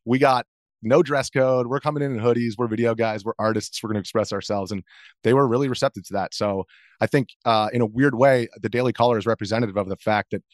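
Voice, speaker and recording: A man's voice: mid-range voice, steady tone, quick pace, no echo, clean studio sound. A man in his thirties speaking as an interview guest, answering casually in a hesitant, rambling way. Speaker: interview guest, video journalist.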